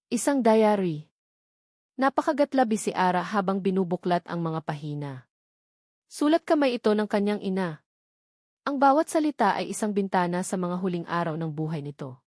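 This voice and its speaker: A woman's voice: medium pitch, a little mellow, no room sound, clean studio sound. A woman reading scripted, dramatic love-story narration as the storyteller.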